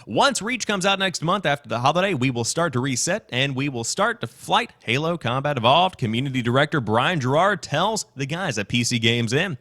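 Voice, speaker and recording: A man's voice: mid-range voice, lively intonation, a little fast, hardly any pauses, fairly bright tone. A man hosting a gaming news show, mixing read-out news with his own off-the-cuff commentary.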